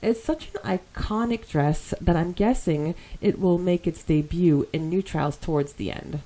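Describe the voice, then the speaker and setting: A woman hosting a podcast, chatting casually and reading listener comments aloud in an animated way. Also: on the low side, measured pace, poor audio. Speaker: podcast host.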